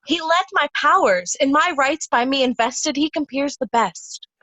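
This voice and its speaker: A woman playing a haughty, ailing princess in Shakespearean reader's theatre, with a deliberate, imperious delivery. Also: high voice, lively intonation, pushed and bright, rough recording.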